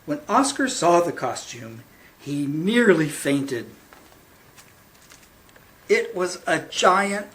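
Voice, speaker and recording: A man reading a picture book aloud to children as a librarian at storytime, with theatrical, deliberate phrasing. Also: high-pitched, fairly bright tone.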